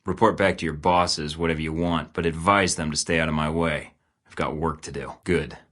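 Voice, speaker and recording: A man's voice: rumbling low, fairly even intonation, fairly quick, a bit bright. An actor playing a blunt, sarcastic male spy agent in video game dialogue, with dry, clipped lines. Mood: terse.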